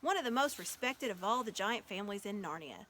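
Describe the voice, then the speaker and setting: A woman's voice: mid-range voice, lively intonation, fairly quick, fairly bright tone, slight background noise, close to an everyday voice. A woman voicing a mild, chatty male faun in a storybook, genteel and a touch snobbish.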